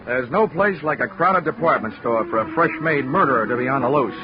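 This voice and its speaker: A man's voice: medium pitch, lively intonation, fairly quick, natural voice. An actor playing a dry, wisecracking male investigator narrating his case in hardboiled 1940s radio style. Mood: wry. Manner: dry, wry, assured. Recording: muffled 1940s radio broadcast recording. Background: music.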